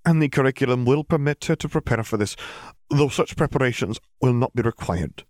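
A man's voice: medium pitch, a little fast, darkish tone, dry recording, clean studio sound, pitched above the performer's own voice, close to an everyday voice. A man voicing a stern, formal older witch schoolteacher with precise diction in an audiobook story. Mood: measured, formal.

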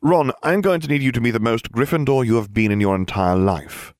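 A man's voice: mid-range voice, a little fast, no room sound, pitched above the performer's own voice, lightly acted. A man voicing a smug teenage boy wizard in an audiobook, with dry, flippant delivery. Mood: earnest. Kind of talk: audiobook character voice.

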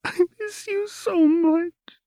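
Guy doing a weepy girlish young woman, way higher pitch, creaky, halting and pleading, storybook character. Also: fairly even intonation, dark tone, no echo, clean studio sound, exaggerated voice. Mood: tearful longing. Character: tearful, childlike young woman, vulnerable daughter.

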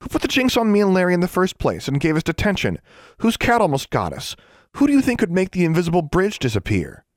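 A man voicing a headstrong schoolgirl witch who is quick to argue, in an audiobook. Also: mid-range voice, expressive, no room sound, studio quality, pitched above the performer's own voice, lightly acted. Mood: insistent. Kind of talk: audiobook character voice.

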